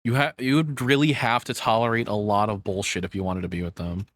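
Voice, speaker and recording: A man with a fairly low voice recorded close and dry, as if at a streaming desk. He reacts casually and candidly, rambling in a self-deprecating way and swearing offhandedly. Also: steady tone, a little fast, a bit bright, dry recording.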